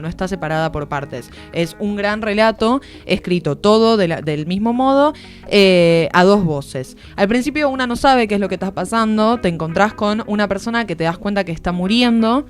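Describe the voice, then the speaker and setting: A woman with an Argentine accent giving an informal, explanatory book review as a columnist on a radio show. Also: medium pitch. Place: radio studio. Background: music.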